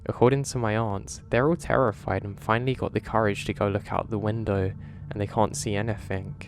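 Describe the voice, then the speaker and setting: A man with a lowish voice tells horror stories in a steady, measured narration. A faint ambient hiss sits under the recording. His delivery stays calm and even as he reads. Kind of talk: scripted narration of submitted stories.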